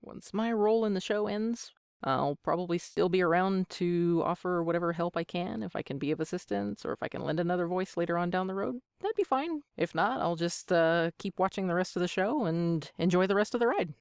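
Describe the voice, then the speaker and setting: A woman's voice: fairly low pitch, a little fast, a little mellow, clear, resonant tone, no room sound, clean studio sound. A woman in her thirties answering interview questions as an amateur voice actor, with a joking, self-deprecating manner. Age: 30s.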